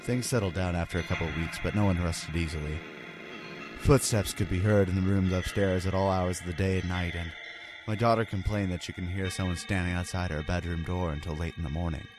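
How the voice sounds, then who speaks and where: A man's voice: low-pitched, fairly even intonation, brisk, close to an everyday voice. A man playing a haunted husband and father, narrating a first-person horror story in an ominous, earnest and theatrical manner. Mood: hushed dread. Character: haunted husband and father confessing a terrifying ordeal. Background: background music.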